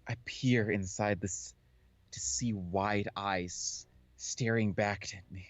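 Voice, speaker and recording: A man's voice: lowish voice, steady tone, takes it slowly, no room sound. A man reading visual novel text aloud as a co-host of a casual gaming session.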